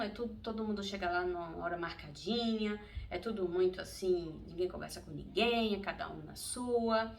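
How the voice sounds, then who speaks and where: A woman's voice: mid-range voice, vocal fry. A middle-aged Brazilian woman telling a personal story in a casual, chatty vlog, laughing often as she goes. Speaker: vlogger. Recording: distant mic, faint ambient noise.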